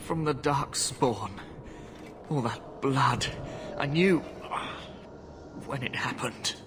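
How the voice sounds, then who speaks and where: A man playing a wounded, dying husband in a fantasy game, gentle and resigned, with a weak, breathy, halting voice. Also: medium pitch, noisy background, natural voice. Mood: pained, resigned. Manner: halting, pleading. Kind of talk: fantasy video game acting. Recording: loud music behind the voice.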